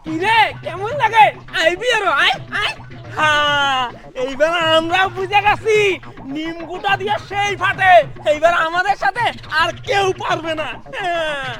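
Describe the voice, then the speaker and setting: Cocky village sidekick played by a man, extremely high voice, loud taunts, slapstick skit with clear music underneath. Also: unhurried. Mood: gloating. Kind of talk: slapstick comedy skit acting. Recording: music behind the voice.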